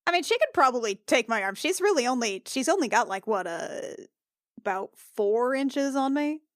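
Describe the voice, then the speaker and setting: High-voiced woman improvising at tabletop game. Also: animated, fairly bright tone, dry recording, studio quality. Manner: halting, conversational, informal. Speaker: player in a tabletop roleplaying game. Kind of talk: improvised in-character dialogue, casual chat.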